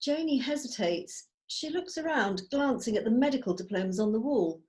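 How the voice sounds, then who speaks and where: A woman's voice: on the high side, expressive, moderate pace, darkish tone, rough recording. A woman narrating scripted stage directions at a drama table read held over a video call.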